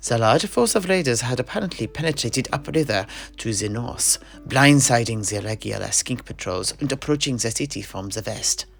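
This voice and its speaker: A man playing a worldly, good-humoured mercenary knight, telling his tale haltingly in a fantasy audio play. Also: mid-range voice, animated, creaky, fairly bright tone, soft background music. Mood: storytelling.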